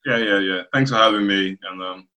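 A man from Nigeria chatting casually as an interview guest, often halting and rephrasing as he goes. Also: fairly low pitch, pushed and bright. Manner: halting, circling back to rephrase. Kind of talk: casual chat. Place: remote video call.

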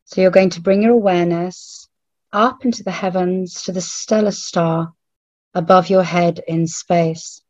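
A woman leading a guided meditation in a hushed, soothing manner, leaving long pauses between short phrases. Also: on the low side, fairly even intonation. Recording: roomy, phone-like narrowband audio.

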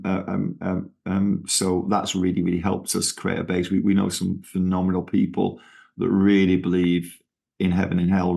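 A man's voice: low voice, creaky, a little mellow. A middle-aged man from England with a Manchester accent, talking as a guest in an interview, rambling with lots of fillers and restarts. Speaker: ministry founder, guest. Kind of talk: conversational interview.

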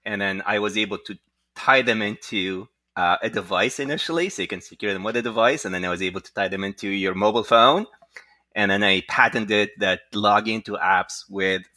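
A man speaking as a guest entrepreneur, telling his story conversationally with frequent halting asides. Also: lowish voice, sharp tone, no echo. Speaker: guest, tech entrepreneur and inventor.

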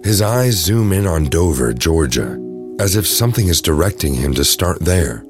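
A man narrates an audio drama in a very low voice. His scripted storytelling runs over clearly audible music. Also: big pitch swings, normal speed.

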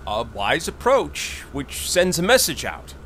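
A man's voice: a little high, wildly swooping pitch, fairly bright tone, a little hiss or hum, exaggerated voice. A man playing a cunning court schemer. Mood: insinuating. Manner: measured, insinuating, manipulative. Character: cunning male court secretary of finance. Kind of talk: audio drama acting.

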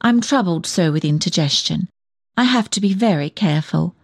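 A nosy, swooping village gossip. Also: female voice, on the low side, expressive, normal speed, no room sound, clean studio sound. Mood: fussy, gossipy. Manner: insinuating, chatty, self-important, fussy. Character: nosy, snobbish village dressmaker and town gossip. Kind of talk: comic dramatic monologue reading.

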